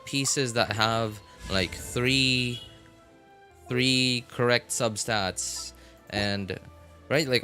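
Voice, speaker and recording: A man giving casual, halting gameplay commentary as a streamer, repeating himself as he thinks aloud. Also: mid-range voice, bright, forceful tone. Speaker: game streamer. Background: game music.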